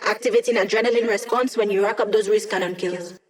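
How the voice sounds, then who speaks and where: A woman's voice: mid-range voice, steady tone, natural voice. An actress voicing a witty young female hacker in a video game, delivering sly, teasing quips to her team. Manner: wry, teasing, quick quips. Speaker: hacker support character.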